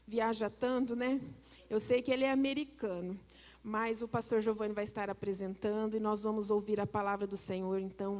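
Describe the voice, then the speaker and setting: A woman leading a church service makes a chatty introduction at a mid pitch. Her voice carries a long church reverb. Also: darkish tone.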